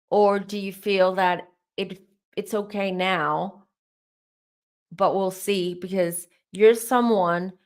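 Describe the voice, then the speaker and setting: A woman hosting a live-stream tarot Q&A, her voice starting to go hoarse, who rambles as she gives advice straight to her viewers. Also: medium pitch, a bit bright. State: voice going hoarse. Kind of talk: live-stream spiritual Q&A, answering chat questions.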